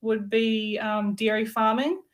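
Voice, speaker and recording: A woman, teaching English in a relaxed, friendly chat over a video call, with frequent ums. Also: on the high side, measured pace. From New Zealand. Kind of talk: casual lesson conversation.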